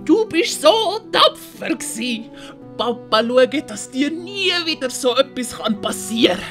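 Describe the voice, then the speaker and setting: A woman's voice: high voice, very animated, slow pace, a bit bright, soft background music, heavily acted. A woman voicing a doting, bossy, miserly master in an audio story, with a Swiss German accent and a cooing, commanding manner.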